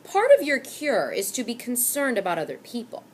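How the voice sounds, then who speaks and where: A woman playing an unhurried old shopkeeper. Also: on the high side, very animated, pitched above the performer's own voice. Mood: calm. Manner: unhurried, measured, lecturing. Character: calm, knowing old male shopkeeper.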